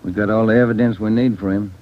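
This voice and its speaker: A man's voice: fairly low pitch, steady tone, fast talker, natural voice. An actor playing a firm male police detective in a 1940s radio crime drama. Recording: muffled 1940s radio recording.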